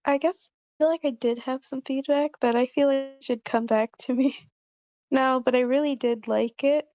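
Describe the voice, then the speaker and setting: A woman's voice: high-pitched, steady tone, moderate pace, mellow. A woman giving casual feedback in an informal group chat, with dull, lo-fi sound. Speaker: table-read participant giving feedback. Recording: dull lo-fi call audio.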